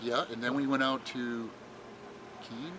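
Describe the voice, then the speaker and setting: A man's voice: mid-range voice, flat delivery, unhurried, slightly rough, rough recording. An older man chatting casually in an outdoor interview, rambling and reminiscing. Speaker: longtime radio swap meet vendor. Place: outdoors at a radio swap meet. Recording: loud outdoor ambience, vehicle noise.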